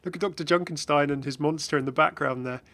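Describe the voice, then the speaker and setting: A man's voice: on the high side, quick pace, bright, forceful tone. A man giving casual, chatty commentary as host of a gaming video. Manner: chatty, frequently chuckling.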